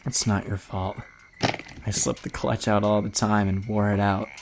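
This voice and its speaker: An actor playing a dazed, self-loathing young man in an audio drama, rambling in a woozy, drawn-out way. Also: lowish voice, level tone, noisy background, natural voice. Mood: self-blaming.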